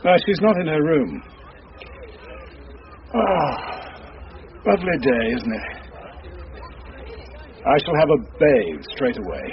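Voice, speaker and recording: An actor playing a phlegmatic, polite English captain with a clipped, casual manner in a radio drama. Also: male voice, fairly high pitch, very animated, slow, gravelly, long pauses, a faint crowd, a little hiss or hum, exaggerated voice. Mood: casually polite. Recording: muffled radio broadcast, outdoor background.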